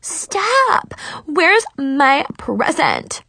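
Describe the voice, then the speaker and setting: A woman's voice: very animated, drawn-out words, bright, forceful tone, no room sound, full character voice. A woman voicing a spoiled, furious teenage mean girl in an audiobook, screechy, haughty and melodramatic. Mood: furious, demanding. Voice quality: shrill, screechy.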